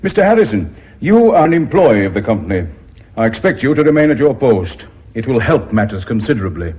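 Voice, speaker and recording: An actor playing a blunt, contemptuous businessman in a radio drama, with a husky voice. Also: medium pitch, very animated, brisk. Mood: brusque, domineering. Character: blunt, contemptuous, hard-bitten Northern businessman. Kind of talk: British radio drama acting. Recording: dull, reverberant radio drama recording.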